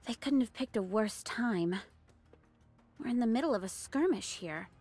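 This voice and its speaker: A woman's voice: a little high, expressive, moderate pace, darkish tone, husky, faint music behind. An actress playing a poised, spirited young woman soldier in an anime game. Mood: poised, doubtful.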